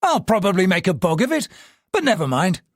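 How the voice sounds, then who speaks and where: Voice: mid-range voice, big pitch swings, fairly quick, no echo, clean studio sound, voice pushed up. Narrator doing a charming tycoon guy, mock serious and teasing. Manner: mock grave, gallant. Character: gallant middle-aged male tycoon, teasing. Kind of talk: audiobook character voice.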